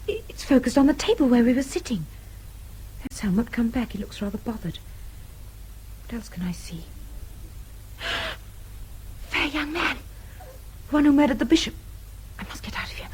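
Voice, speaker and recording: An actress playing a naive, highly strung young British woman in a radio drama, fretful, expressive and well-spoken. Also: a little hiss or hum, low-quality recording. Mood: anxious, panicky.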